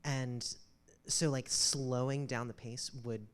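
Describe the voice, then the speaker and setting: A woman's voice: very deep voice, fairly even intonation, takes it slowly. A woman in an audience giving a polite, hesitant, informal critique. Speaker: audience member giving feedback.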